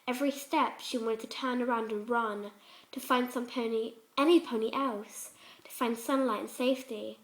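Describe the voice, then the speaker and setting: High voiced lady reading a fanfic out loud, dramatic story narration. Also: steady tone, normal speed, low-quality recording. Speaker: fan fiction reader.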